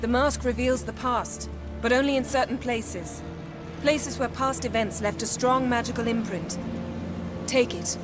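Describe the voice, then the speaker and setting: An actress playing a confident, commanding sorceress in a game cutscene, giving brisk instructions. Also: female voice, a little high, natural voice. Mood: instructive. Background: loud game score music.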